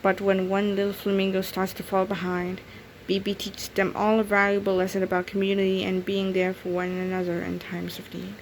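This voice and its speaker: A woman reading a children's picture book aloud in an expressive storytelling manner. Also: mid-range voice, fairly quick, hardly any pauses, slight background noise, poor audio. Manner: expressive storytelling with acted character voices. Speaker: storybook reader.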